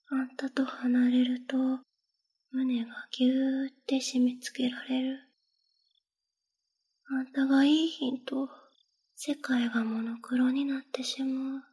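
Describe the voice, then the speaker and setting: A devoted, clingy young woman with a Kansai accent, put on by a woman in an ASMR roleplay, whispering close and breathy. Her voice sits high but barely moves in pitch, and she speaks slowly and evenly. She sounds intimate and hushed throughout. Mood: adoring.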